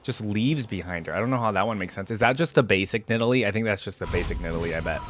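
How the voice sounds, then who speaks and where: A man's voice: lowish voice, fast talker, fairly bright tone. A man giving casual, off-the-cuff game reaction commentary, rambling as he thinks aloud. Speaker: reaction video host.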